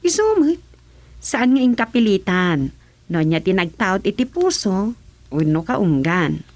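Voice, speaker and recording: A woman's voice: mid-range voice, big pitch swings, measured pace, darkish tone, dry recording. A woman with an Ilocano accent playing a concerned, outspoken younger village wife in a radio drama. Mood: insistent.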